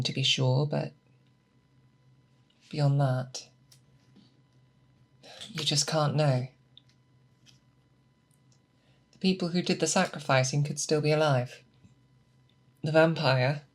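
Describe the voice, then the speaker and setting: A performer playing a seasoned monster hunter who patiently instructs an apprentice in a scripted ASMR roleplay, with hesitant, measured check-ins. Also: mid-range voice, natural voice. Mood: grave, measured.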